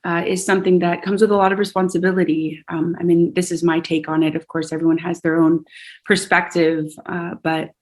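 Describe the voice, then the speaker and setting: A woman's voice: fairly low pitch, flat delivery, a little fast. A woman from Hawaii, a singer and yoga teacher, talking in an earnest, reflective way as a podcast guest. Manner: earnest and reflective, with fillers and restarts. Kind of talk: casual conversation.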